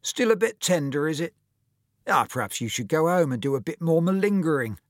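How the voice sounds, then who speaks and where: A man's voice: low-pitched, slow pace, bright, forceful tone, no echo, studio quality, full character voice. A man voicing a sneering, menacing teenage boy bully.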